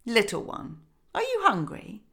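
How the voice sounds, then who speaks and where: A woman's voice: fairly high pitch, huge pitch swings, a little slow, vocal fry, a bit bright, voice pushed up, exaggerated voice. A woman reading a fairy tale voices a motherly village shopwoman with a coaxing manner. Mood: kindly.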